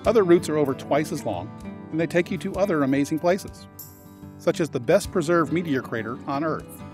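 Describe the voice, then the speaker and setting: A man narrating a road trip travel video in a measured, informative tour-guide manner. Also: on the high side, animated. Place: voice-over, not on location. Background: guitar music.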